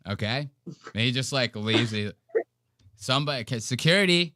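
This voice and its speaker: A man's voice: mid-range voice, animated, normal speed. A man hosting a live stream with casual, profane, slangy and mocking reaction commentary.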